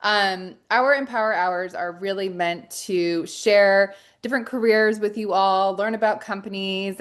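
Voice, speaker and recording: A woman hosting and welcoming viewers to an online career webinar in an upbeat, friendly way, with some ums. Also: mid-range voice. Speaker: host of an online career event.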